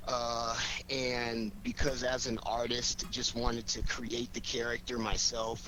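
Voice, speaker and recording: A man talks casually and with enthusiasm in a medium-pitched voice. He sounds like a performer chatting on internet radio after a show, with faint noise in the recording. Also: fairly bright tone, poor audio. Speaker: radio theater cast member introducing the cast. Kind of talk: casual post-show chat.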